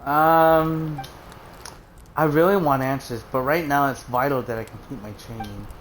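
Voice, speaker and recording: A woman streamer giving casual gaming commentary, reacting with loud exclamations and plenty of swearing. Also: extremely low pitch, fairly even intonation, a little slow, a little hiss or hum, rough recording.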